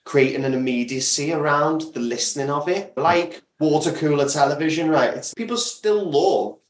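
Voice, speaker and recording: A man's voice: on the high side, normal speed. A man speaking casually as a guest in an interview. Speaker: podcast guest, audio drama creator. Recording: roomy, echoing sound.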